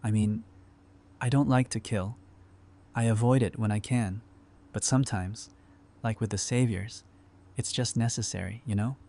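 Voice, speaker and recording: A man's voice: fairly low pitch, steady tone, normal speed, creaky, long pauses, darkish tone. A man playing an easygoing, pragmatic apocalypse survivor in a fan audio drama, speaking in a casual, wry way. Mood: serious.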